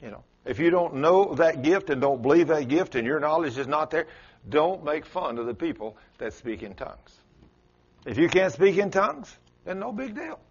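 A man's voice: animated, moderate pace, breathy edge, lo-fi sound. A man with a South Texas accent preaching a sermon in a folksy, emphatic way. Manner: folksy, emphatic, swings pitch up for stress.

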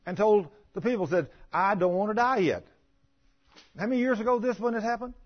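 A man's voice: high-pitched, fairly quick, highs cut off. A man preaching in a folksy, emphatic way, reading scripture and putting plain questions to the congregation.

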